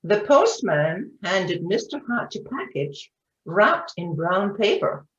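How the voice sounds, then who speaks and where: A woman reading scripted storybook narration in an animated way. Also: mid-range voice, slow. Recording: muffled, echoey room recording.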